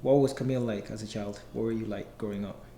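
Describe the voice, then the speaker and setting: A man hosts a casual interview podcast and asks questions in a relaxed, easygoing way. He often answers with short affirmations. The room is echoey, with faint noise behind him. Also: fairly low pitch, quick pace. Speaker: podcast host.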